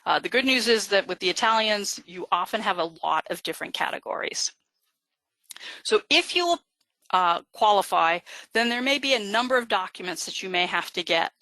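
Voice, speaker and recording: A woman's voice: mid-range voice, lively intonation, normal speed, sharp tone, no room sound, low-quality recording. A woman genealogist giving a live webinar lecture, explaining step by step with frequent fillers. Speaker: genealogist presenter.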